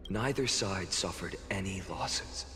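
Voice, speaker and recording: A man playing a grieving, wrathful young hero in a video game, speaking in a solemn, deliberate, dramatic way. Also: sits low, pushed and bright, slight background noise. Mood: solemn, weary. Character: grieving, wrathful young male hero recounting endless doomed cycles. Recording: long reverb, clear music behind. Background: game music.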